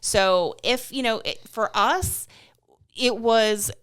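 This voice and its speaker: A woman's voice: fairly high pitch, slow, fairly bright tone, smooth. A middle-aged American woman chatting casually and enthusiastically as she hosts a vlog, in an informal way. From California, United States. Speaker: vlog host. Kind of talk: casual conversational recap.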